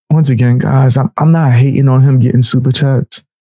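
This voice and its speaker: A man gives casual reaction commentary as a show host. His voice is mellow and slightly husky, with a dry, close sound. He talks in slang and with a mocking edge. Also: medium pitch, fairly even intonation, moderate pace, no room sound, soft background music.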